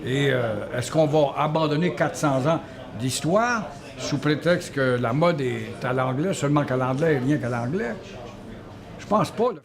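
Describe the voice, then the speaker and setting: A man's voice: medium pitch, expressive, measured pace, a crowd in the background. A man speaking passionately and rhetorically in a documentary interview.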